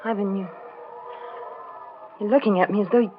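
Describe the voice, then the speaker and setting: An actress playing a polite, sociable woman posing for an artist in a 1940s radio drama, theatrical and expressive. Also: mid-range voice, moderate pace, over music, close to an everyday voice. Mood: uneasy. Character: sociable, polite woman sitter, uneasily accommodating. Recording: tinny, noisy old radio recording.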